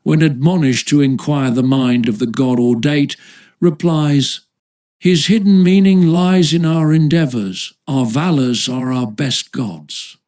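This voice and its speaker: A man narrating a philosophical essay as an audiobook, with a formal, measured literary delivery. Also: on the high side, darkish tone.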